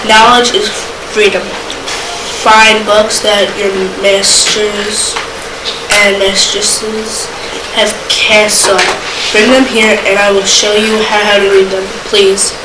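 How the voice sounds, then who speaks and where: A woman's voice: mid-range voice, little pitch movement, slow, lightly acted. Echoey woman playing an earnest young enslaved man. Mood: urgent. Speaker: student actor. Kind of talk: amateur readers' theater reading, halting. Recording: echoey room from far off, noisy.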